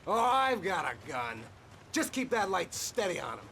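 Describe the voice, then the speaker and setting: Bold survivor ally, urgent, colloquial, high-pitched, swooping delivery, music behind. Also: male voice, very animated, normal speed, vocal fry, fairly bright tone, slight background noise, exaggerated voice. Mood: urgent, cocky. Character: gruff, bold male ally urging escape from monsters.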